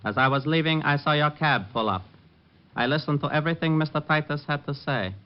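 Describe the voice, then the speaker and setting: An actor playing a cold, courteous assassin cult leader in an old-time radio drama, speaking in formal, measured, menacing sentences. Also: male voice, on the high side, clean tone, highs cut off, lightly acted. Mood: cold menace.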